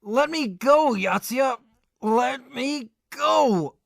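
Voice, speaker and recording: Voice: high-pitched, lively intonation, extremely slow, vocal fry, a bit bright, no room sound, studio quality, heavily acted. An actor playing a frustrated, easily flustered young male student in an amateur audio drama. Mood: angry.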